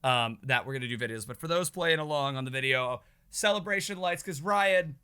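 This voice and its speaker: A man co-hosting a podcast in casual chat, a little hoarse, chatty and excitable. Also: medium pitch, a little fast. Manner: chatty, excitable, self-mocking. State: slightly hoarse. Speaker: podcast co-host.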